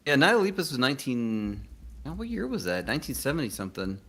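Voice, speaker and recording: A man chatting casually, rambling and halting as he hunts for his words. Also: medium pitch, very animated, a bit bright. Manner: halting, rambling, filler-heavy. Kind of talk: casual chat.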